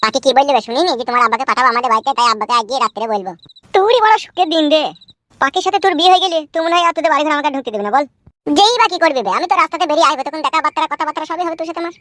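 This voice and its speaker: Guy doing cartoon village lad, rural west bengal accent, mouthy and hot headed, bright high voice, music behind. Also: racing. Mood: teasing, bickering. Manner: brash, slangy bickering. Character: hot-headed, foul-mouthed young village man, eager to marry. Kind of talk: comic cartoon dubbing.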